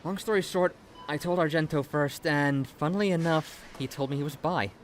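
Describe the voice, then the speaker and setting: A man's voice: on the high side, normal speed, faint music behind, close to an everyday voice. Man playing an easygoing, upbeat young man. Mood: casual, upbeat. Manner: casual, easygoing, playful. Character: friendly, upbeat young man, early-twenties observatory worker. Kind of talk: audio drama acting of fan fiction.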